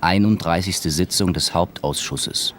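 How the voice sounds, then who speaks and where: A sober documentary announcer with a very low voice. He delivers terse, formal statements like session records in a radio drama. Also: a little slow. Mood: sober, factual.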